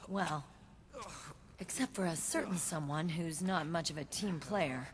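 A woman's voice: deep voice, a little slow. An actress playing a confident, stern young female squad commander in a video game, with a firm, assured delivery. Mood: proud. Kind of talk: video game cutscene acting.

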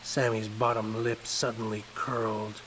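A man's voice: lowish voice, little pitch movement, a little slow, noisy background. A man reading a scripted horror story as its narrator.

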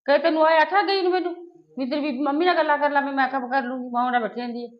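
Outspoken, fast-talking Punjabi mother played by an actress. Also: high-pitched, fairly even intonation, dull audio, close to an everyday voice. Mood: complaining. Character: indignant, outspoken Punjabi mother of a married daughter. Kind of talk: amateur short-film family drama acting, conversational.

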